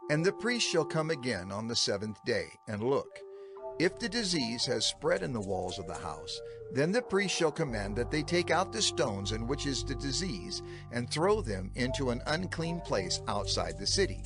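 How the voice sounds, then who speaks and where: A man narrating scripture from a text in a measured, formal way, as the reader of a dramatized audio production. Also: mid-range voice. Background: music.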